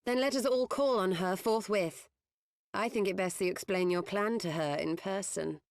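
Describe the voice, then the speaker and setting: An actress playing a cool, poised, dry-witted woman sage in a fantasy video game, courteous and measured. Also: medium pitch, gravelly, in a large hall, close to an everyday voice. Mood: decisive, calm.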